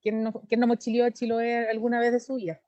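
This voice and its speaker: A woman's voice: a little high, completely flat delivery, fast talker, warm tone, dry recording. Earnest Chilean woman, muffled call. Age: 30s. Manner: earnest, fluent, gives long detailed answers. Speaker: lawyer and political candidate. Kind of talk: interview answers.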